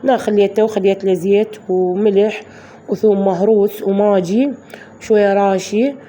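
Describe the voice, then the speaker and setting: A woman with an Iraqi Arabic accent, hoarse from a flu, chatting through a voice-over of her daily home routine for her viewers. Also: mid-range voice, steady tone, moderate pace, warm tone, a little hiss or hum. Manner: chatty, addresses viewers as girls. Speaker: vlogger.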